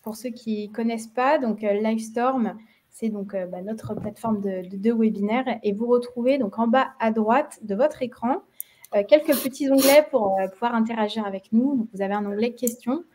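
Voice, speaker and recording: A woman's voice: fairly high pitch, normal speed, mellow, slight background noise. Parisian French woman hosting a webinar. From France. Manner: hesitant, many fillers and restarts. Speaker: marketing webinar host. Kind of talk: webinar presentation.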